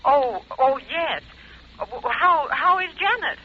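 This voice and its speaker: An actress playing a startled, anxious mother-in-law in a 1940s radio drama, halting and flustered. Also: high voice, expressive. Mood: startled, worried. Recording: dull, noisy old radio.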